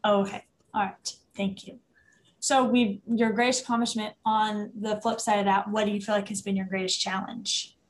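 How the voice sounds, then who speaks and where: Halting, friendly woman interviewer. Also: medium pitch, level tone. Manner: halting, restarts questions, friendly acknowledgments. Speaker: interviewer host. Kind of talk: casual interview.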